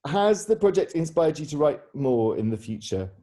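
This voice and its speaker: A man's voice: fairly high pitch, very animated, normal speed, warm tone. A man hosting an informal question-and-answer session, phrasing his questions in a hesitant, rambling way. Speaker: interviewer and theatre director.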